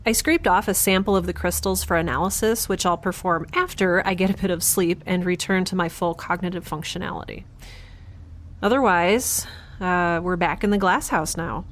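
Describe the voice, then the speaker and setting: A woman's voice: lowish voice, a little hiss or hum, close to an everyday voice. A woman playing a frazzled, wry young scientist in space, rambling and correcting herself as she talks in an audio drama. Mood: tired, matter-of-fact. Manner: halting, rambling, self-correcting. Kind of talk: audio drama, conversational log-diary monologue and dialogue.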